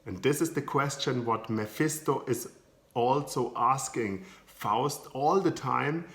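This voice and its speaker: A man's voice: medium pitch, slow, fairly bright tone. A German man with a German accent, a psychologist and coach talking informally to camera, searching for words with many restarts. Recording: echoey room.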